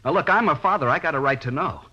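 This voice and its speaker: A husky, distraught middle-aged American father. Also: fairly high pitch, lively intonation, bright, forceful tone, heavily acted. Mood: agitated, pleading. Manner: halting, repetitive, pleading. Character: distraught grieving father of a dead daughter. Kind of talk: 1950s radio drama acting. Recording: dull old radio recording.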